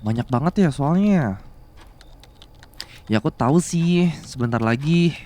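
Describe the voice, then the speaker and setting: Man acting as slow-talking, attentive young boyfriend. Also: on the high side, lively intonation, a little mellow. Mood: concerned. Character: attentive, bashful young man doting on his older boss girlfriend. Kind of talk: Indonesian ASMR romance roleplay.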